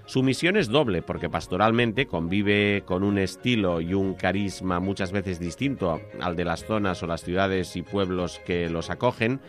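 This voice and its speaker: A man reading a scripted news report in a measured, formal manner. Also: deep voice, soft background music.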